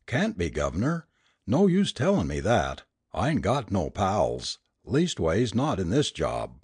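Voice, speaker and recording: A man voicing a coarse old-time burglar who talks in rough working-class slang, eager and pleading. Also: low voice, huge pitch swings, a little slow, a little mellow, dry recording, clean studio sound, full character voice. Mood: wheedling.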